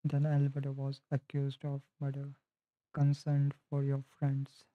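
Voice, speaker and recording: A man's voice: mid-range voice, monotone, measured pace, dry recording. A man with an Indian accent livestreaming a game, chatting casually and reading story text aloud. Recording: dull lo-fi stream mic, music behind. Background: game music.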